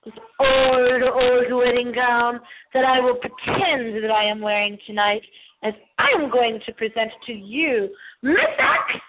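A woman with a fairly high voice hosts a playfully spooky live radio show. She rambles in a theatrical, campy style. The sound is thin and narrow, like a call over a phone line. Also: a little slow, heavy background noise. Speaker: host of a late-night spooky internet radio show.

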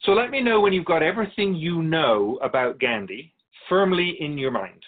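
Man acting otherworldly soul keeper, patient philosophical teacher, higher-pitched male voice, radio drama, slightly dull recording. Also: expressive. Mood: patient, instructive. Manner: measured, philosophical, gently instructive. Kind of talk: scripted radio drama dialogue.

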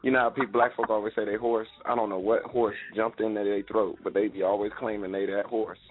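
A man's voice: on the low side, brisk. A man co-hosting a talk show, teasing and cracking jokes. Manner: teasing, animated, comic. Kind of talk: joking talk-show banter. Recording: tinny phone-line sound.

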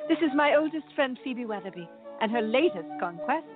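A woman's voice: a little high, lively intonation, a little fast, phone-quality sound, music in the background, lightly acted. A woman playing a gracious Regency lady novelist in a radio play, polite and formally mannered. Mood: warm, reassuring.

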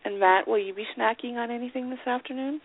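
A woman from Canada with a creaky edge to her voice makes easy small talk as a co-host. She is agreeable and asks friendly questions. The sound is narrow, like radio over a phone line. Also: on the high side, fast. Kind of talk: casual chat and banter.

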